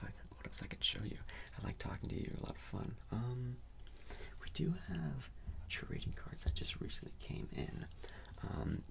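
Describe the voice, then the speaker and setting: A man performs a soft-spoken ASMR roleplay as a shop clerk, mostly in a breathy whisper. When his voice surfaces it is low and heavily creaky. He rambles casually through his sales patter. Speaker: ASMR performer playing a sports store clerk.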